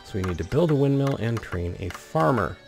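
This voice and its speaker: A man's voice: medium pitch, lively intonation, fairly quick, gravelly, over music. A man hosting a gaming video, commenting on play and reading the game's story text aloud. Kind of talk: let's play commentary mixed with reading in-game narration aloud.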